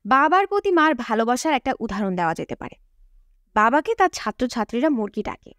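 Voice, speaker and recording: A woman narrates a novel in a high voice with very lively intonation. The reading is scripted audiobook storytelling, captured dry and close to the mic. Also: fairly quick, dry recording.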